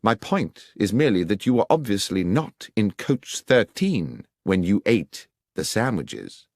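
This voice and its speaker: A man voicing a composed, brilliant Victorian detective in an audiobook, precise, deliberate and assertive as he questions. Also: on the low side, very animated, a little mellow, no room sound, studio quality, full character voice. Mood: pointed, incisive.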